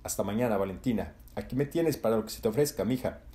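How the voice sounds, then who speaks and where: A man's voice: lowish voice, fast talker, lightly acted. A man voicing a suave refined mercenary. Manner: refined, diplomatic, calm. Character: smooth, refined middle-aged male mercenary.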